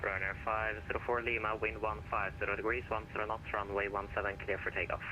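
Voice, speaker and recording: A man's voice: lowish voice, flat delivery. A man working as an air traffic controller, giving clipped routine instructions over the radio. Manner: brisk, routine, occasional uh. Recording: noisy radio transmission.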